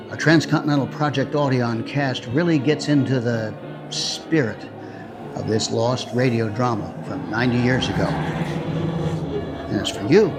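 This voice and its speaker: A man with a mid-pitched voice introduces an audio drama as its host. He reads scripted narration conversationally, slipping in playful asides, with music clearly playing behind him.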